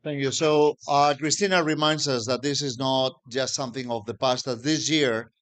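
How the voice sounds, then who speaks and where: Man moderating a panel at mid pitch, formal and measured, with a lot of small hesitations. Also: steady tone, a bit bright. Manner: measured, formal, with frequent "uh" pauses. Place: conference panel stage.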